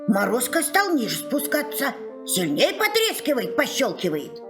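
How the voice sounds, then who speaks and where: A woman storyteller giving an expressive scripted fairy-tale narration. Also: a little high, normal speed. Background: music.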